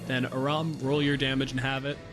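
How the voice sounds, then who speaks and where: A man's voice: mid-range voice, flat delivery, a little fast. Man running a tabletop game over music. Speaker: game master. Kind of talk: casual tabletop game narration. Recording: music playing behind. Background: background music.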